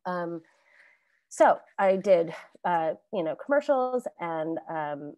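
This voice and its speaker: A woman on a video call panel telling stories about her acting career in a chatty, animated way, laughing often and restarting sentences. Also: fairly low pitch, slow pace, no room sound, muffled recording. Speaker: alumni panelist, actor.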